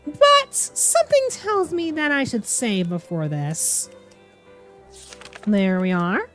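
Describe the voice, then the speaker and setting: A woman's voice: on the high side, animated, slow, fairly bright tone, faint music behind. A woman streaming as a VTuber, giving wry, theatrical commentary as she plays through a game. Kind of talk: playthrough commentary with dramatic reading.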